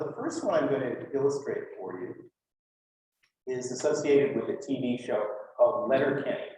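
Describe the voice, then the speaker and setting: A man's voice: mid-range voice, a little mellow. A man with a Western Canadian accent giving an informal academic talk, conversational, with rhetorical questions and dry asides. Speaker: conference speaker, linguist. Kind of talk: informal academic lecture to an audience. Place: lecture room at a conference. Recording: very echoey room, distant mic.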